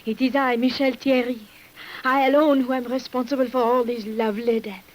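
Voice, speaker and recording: A woman's voice: on the high side, level tone, a little fast, close to an everyday voice. A woman playing an intense, secretive French nurse and poisoner in a melodramatic mid-century radio drama. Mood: coldly menacing. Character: French nurse and secret poisoner, outwardly frightened, coldly menacing. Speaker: radio actress. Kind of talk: 1950s radio drama acting. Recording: noisy old radio recording.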